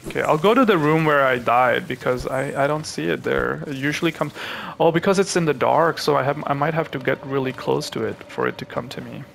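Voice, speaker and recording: Gravelly young man narrating gameplay. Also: mid-range voice, brisk, a little hiss or hum. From Pakistan. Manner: chatty, thinks aloud to viewers; excitable reactions. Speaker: gaming channel host. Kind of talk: live gameplay commentary.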